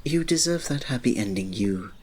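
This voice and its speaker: A man playing a reserved, devoted royal servant in an ASMR romance roleplay, speaking softly, politely and formally with a breathy voice. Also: medium pitch, animated, moderate pace, a little hiss or hum, lightly acted. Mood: gentle, polite. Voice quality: soft, breathy. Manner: soft, polite, formal, restrained, often dropping to a breathy murmur.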